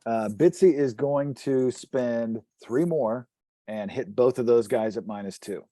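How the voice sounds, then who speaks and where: A man's voice: mid-range voice, measured pace, mellow, no echo. A man chatting casually as a player in a tabletop game.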